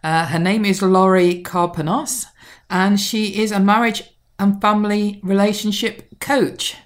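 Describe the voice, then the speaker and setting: A woman from the United Kingdom with a British accent, hosting an interview in a measured, polite way as she guides her guest with questions. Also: mid-range voice, steady tone. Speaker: podcast host.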